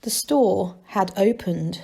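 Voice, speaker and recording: A woman reading a children's novel aloud as a storyteller, in expressive scripted narration. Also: mid-range voice, slow, vocal fry, breathy edge.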